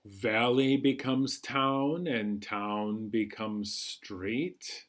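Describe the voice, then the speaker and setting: Slow-paced man reading aloud to children. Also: on the low side, steady tone. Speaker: children's storytime host at a library. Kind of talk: picture book read-aloud and singalong for young children.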